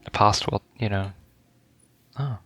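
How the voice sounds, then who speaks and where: A man's voice: fairly low pitch, a little slow, fairly bright tone, soft background music. A man giving casual first-reaction gameplay commentary, informal and stumbling a little as he reads game text aloud. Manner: informal, stumbles over words when reading aloud. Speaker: gaming video creator.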